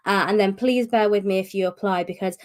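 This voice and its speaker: A woman's voice: mid-range voice, steady tone, fairly quick. A woman hosting a casual live-stream update, chatty and rambling with many fillers, her voice strained from a cough. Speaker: host of publisher's live stream.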